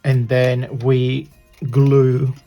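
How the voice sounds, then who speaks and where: A man with a croaky voice hosting a casual craft tutorial, chatting and apologizing as he works with his hands at a desk. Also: medium pitch, flat delivery, drawn-out words, warm tone, soft background music.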